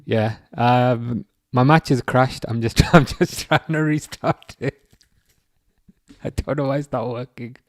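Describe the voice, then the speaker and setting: A young English man hosts a football livestream from home, reading out viewer messages and rambling with plenty of false starts. His voice sits at a middle pitch. It comes through dry and close on the mic. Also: steady tone, unhurried, no echo. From England. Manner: rambling, repeats words and restarts, reads chat aloud. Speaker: livestream host. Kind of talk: casual football livestream chat.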